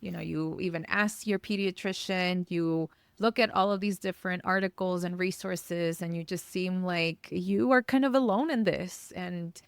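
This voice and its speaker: A woman's voice: fairly low pitch, fairly even intonation, normal speed, gravelly, hardly any pauses, smooth, no echo. Upbeat woman host, dry close sound. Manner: warm, upbeat, mixing in Spanish words. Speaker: podcast host. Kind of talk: podcast hosting with scripted intro.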